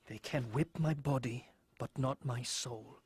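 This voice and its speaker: An actor playing a brave, devout enslaved man in an animated film, resolute and earnest, with film music behind. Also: mid-range voice, measured pace, slightly rough, dry recording. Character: brave, devout male slave, steadfast believer.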